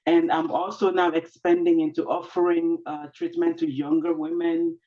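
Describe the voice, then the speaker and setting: A woman's voice: lowish voice, level tone, moderate pace, mellow. A woman, a small business owner, introducing herself earnestly on a video call with many fillers and restarts. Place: at her workplace. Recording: dull lo-fi video call audio.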